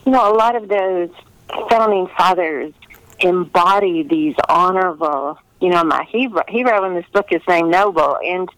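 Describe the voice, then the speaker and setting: A woman's voice: fairly low pitch, normal speed, a bit bright, no echo, poor audio. A middle-aged American woman, a novelist, speaking earnestly and reflectively in a conversational interview. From United States. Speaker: historical romance novelist being interviewed.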